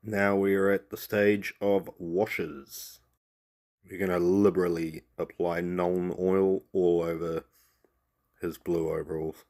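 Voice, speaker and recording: A low, flat-voiced man with a New Zealand accent walks through a painting tutorial in voice-over. He has a cold and talks in a relaxed, soft way, trailing off with little fillers. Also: takes it slowly.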